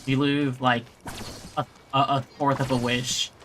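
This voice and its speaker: A man's voice: mid-range voice, flat delivery, unhurried, a bit bright, slight background noise. A man giving casual, rambling gameplay commentary on a livestream, talking to himself and his viewers. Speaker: video game livestreamer. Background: video game music.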